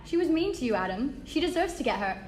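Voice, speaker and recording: High, animated coaxing female figment played by a woman. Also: quick pace. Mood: vengeful. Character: coaxing, vengeful female imaginary companion. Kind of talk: dramatic script read-through. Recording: echoey room.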